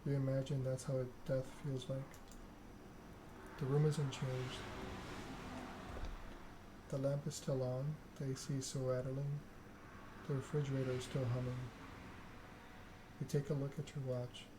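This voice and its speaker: A man narrating game text aloud in an ASMR style, in deliberate short phrases with the odd misreading. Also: medium pitch, little pitch movement, noisy background. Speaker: video game streamer reading a story game aloud.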